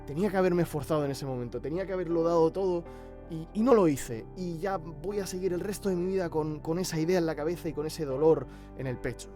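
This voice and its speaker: A man from Spain with a Castilian accent talking reflectively in an interview, pausing to search for words. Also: a little high, dark tone, soft background music. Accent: Spanish (Spain). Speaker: amateur voice actor.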